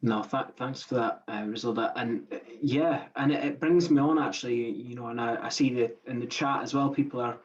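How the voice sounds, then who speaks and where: A man hosting an online panel, thanking a speaker and posing a question in a measured way. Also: mid-range voice. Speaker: host, moderator. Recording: muffled, distant call audio.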